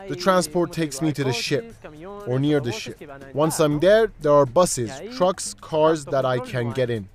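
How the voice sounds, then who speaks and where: A man's voice: a little high, animated, measured pace. Guy reading as young moroccan migrant man telling his story, documentary voiceover, music behind it. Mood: plain recounting. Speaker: voice-over translator reading a migrant's testimony. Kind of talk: translated first-person documentary narration. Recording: music under the voice.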